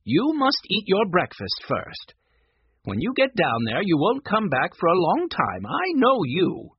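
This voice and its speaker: A narrator voicing a kindly aunt in a children's storybook, measured and gently firm. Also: medium pitch, huge pitch swings, husky, no room sound, muffled recording, full character voice.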